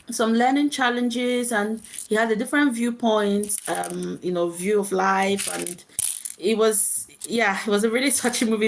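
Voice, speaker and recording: A woman's voice: mid-range voice, slight background noise, low-quality recording. A woman hosting a casual live chat, speaking reflectively in short, repeated phrases to her viewers. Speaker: live stream host.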